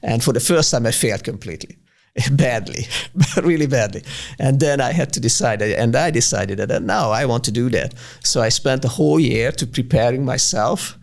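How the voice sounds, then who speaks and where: Creaky-voiced man with a Hungarian accent. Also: mid-range voice, lively intonation. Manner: hesitant, halting, searching for words. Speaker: interviewer. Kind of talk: casual interview chat.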